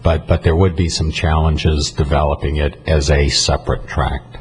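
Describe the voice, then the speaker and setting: A man giving an unscripted staff report at a public meeting, speaking haltingly and repeating words. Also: rumbling low, little pitch movement. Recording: noticeable background noise.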